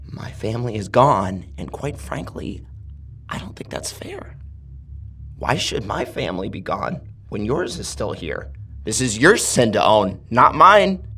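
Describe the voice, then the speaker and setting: A man plays a vengeful masked killer from a horror audio story. His low voice swings widely in pitch, mocking and deliberate, with a gravelly edge and cold menace. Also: big pitch swings, bright, forceful tone, a little hiss or hum, heavily acted. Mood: bitter, accusing. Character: vengeful, sadistic masked male killer, coldly taunting.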